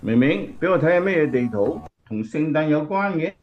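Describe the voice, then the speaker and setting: A man's voice: a little high, lively intonation, normal speed, natural voice. Man as a kindly Cantonese grandfather, dull recording. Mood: warm welcome. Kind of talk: radio drama acting.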